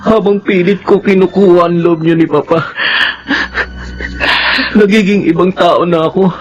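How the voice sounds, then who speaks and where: A man acts a guilt-ridden grown son in a Filipino radio drama, high-voiced and melodramatic as he pleads for approval. The audio is dull, with faint noise behind him. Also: steady tone, normal speed, natural voice. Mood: remorseful pleading.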